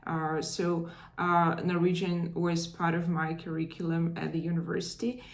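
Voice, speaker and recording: A woman in her thirties with a Russian accent gives an English lesson in a measured, explanatory way and pauses now and then on a filler. Her voice sits a little low for a woman. The room around her is noticeably echoey. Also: flat delivery. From northern Russia. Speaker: English teacher. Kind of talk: language lesson to camera.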